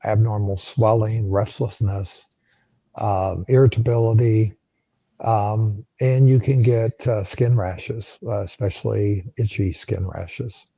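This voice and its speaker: A man losing his voice and sounding gravelly, explaining physiology in a live Q&A with frequent ums and uhs. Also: on the low side, fairly even intonation, takes it slowly, mellow, dry recording. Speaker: co-host answering health questions.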